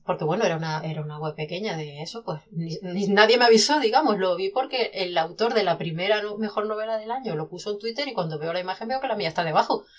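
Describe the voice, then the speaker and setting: A woman's voice: mid-range voice, quick pace, hardly any pauses, lo-fi sound. A woman, a self-published novelist, talking animatedly in a casual podcast conversation with many restarts.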